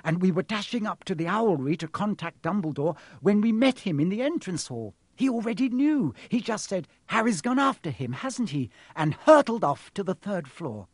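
A man voicing an anxious, excitable schoolgirl witch in a storybook, emphatic and fretful. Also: high-pitched, normal speed, hardly any pauses, no room sound, pitched above the performer's own voice. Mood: breathless, earnest.

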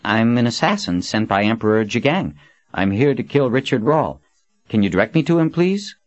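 A man's voice: mid-range voice, sing-song, moderate pace, dry recording, dull audio, pitched above the performer's own voice, close to an everyday voice. A man voicing a cocky young male assassin, boyish and mocking, with falsely polite, offhand talk. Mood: smug.

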